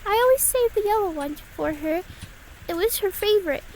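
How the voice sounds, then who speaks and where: A woman's voice: very high-pitched, animated, darkish tone, slight background noise, poor audio. Tiny-voiced frightened little girl. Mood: wistful, grieving. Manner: timid, halting, childlike phrasing. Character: frightened grieving little girl, innocent and trusting. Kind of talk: fan audio drama acting.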